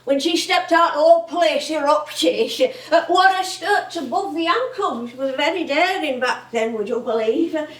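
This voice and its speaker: A woman's voice: high voice, normal speed, few pauses, close to an everyday voice. A woman playing an elderly music-hall actress with a Northern English accent, chatty and rambling, proud and nostalgic in a stage drama. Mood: delighted. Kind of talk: theatrical monologue-like reminiscing. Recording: roomy, distant stage recording.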